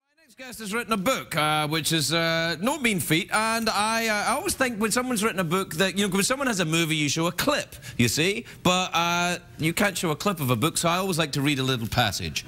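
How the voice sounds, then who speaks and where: A man's voice: high-pitched, lively intonation, pushed and bright. A man hosting a late-night talk show, bantering with a guest in a playful way, stammering and restarting as he jokes. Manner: playful, stammering restarts, quick teasing asides. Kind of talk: joking interview banter.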